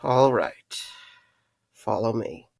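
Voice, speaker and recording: Man acting an earnest, gravelly man with secrets. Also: fairly low pitch, expressive, darkish tone, dry recording, clean studio sound, exaggerated voice. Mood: hesitant. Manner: halting, serious delivery. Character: earnest, guilt-ridden man confessing dark secrets to a friend. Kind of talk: audio drama acting.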